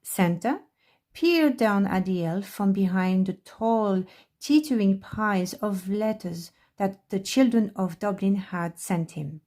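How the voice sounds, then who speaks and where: A woman narrates a children's picture book for storytime. Her delivery is unhurried and her tone is mellow, at a middle pitch for a woman. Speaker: library storytime reader.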